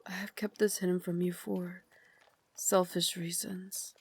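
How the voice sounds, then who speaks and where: A woman playing a refined, tender but tormented Victorian vampire lady in an ASMR roleplay, speaking in a formal, genteel way with breathy asides. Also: on the low side, level tone, slow, full character voice. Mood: remorseful, soothing. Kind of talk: ASMR roleplay, dramatic monologue.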